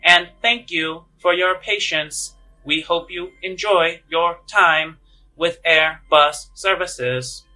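A man's voice: fairly high pitch, slow, pushed and bright, voice pushed up, heavily acted, poor audio. A man voicing a polite, formal public-address announcer on a passenger starship in a tabletop game. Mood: formal, apologetic. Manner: formal, scripted announcement delivery.

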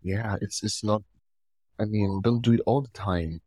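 A man's voice: fairly low pitch, unhurried, warm tone, dry recording, clean studio sound. A man from Nigeria with a Nigerian accent, talking casually as co-host of a podcast. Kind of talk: casual conversation.

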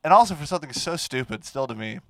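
A man, co-hosting a casual comedy podcast and telling stories in an animated way, close to a microphone. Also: fairly high pitch, dry recording. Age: 20s. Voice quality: nasal. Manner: animated storytelling, quick to laugh. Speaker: podcast co-host.

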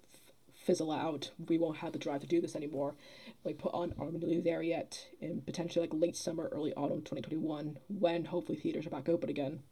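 A young woman talking casually on a podcast, halting as she thinks aloud. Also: low voice, mellow, husky. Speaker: guest, theatre producer.

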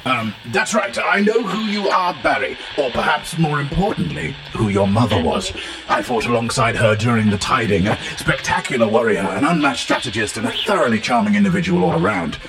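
A man's voice: mid-range voice, animated, brisk, over music, close to an everyday voice, low-quality recording. A man voicing a pompous, bumbling professor who rambles in flowery speech with blunt asides, in a comic fantasy game. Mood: pompous, teasing. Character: pompous, bumbling, long-titled male professor of adventure lore. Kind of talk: comic in-character monologue as a recorded message.

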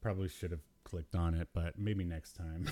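A man's voice: low-pitched, steady tone, normal speed, warm tone. A man hosting a podcast in casual chat, riffing jokily and with exasperation. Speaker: podcast host. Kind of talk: casual chat about old cartoons.

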